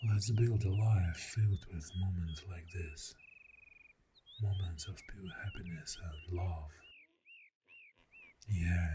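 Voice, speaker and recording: A man playing a clingy, adoring boyfriend in a soft, breathy ASMR roleplay, murmuring tenderly and often dropping toward a whisper. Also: low voice, very noisy. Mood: dreamy, loving. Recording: birdsong and nature sounds throughout. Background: birdsong, river and forest ambience.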